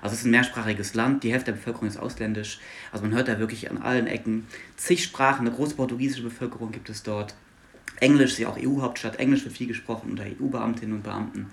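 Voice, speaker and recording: A man's voice: medium pitch, steady tone, fast talker, creaky. A man from Luxembourg whose first language is Luxembourgish, a linguist guest explaining fluently in an academic interview.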